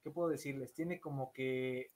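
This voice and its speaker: A man's voice: medium pitch, steady tone, moderate pace, darkish tone. A man hosting a casual livestream interview, chatty and joking in his manner. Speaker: interview host.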